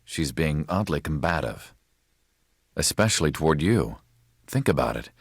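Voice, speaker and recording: Very deep-voiced, earnest male rebel leader. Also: very animated, moderate pace, gravelly, slightly rough, no room sound, clean studio sound, full character voice. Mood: grave, suspicious. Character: grave male rebel leader, sincere and troubled. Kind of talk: video game cutscene acting.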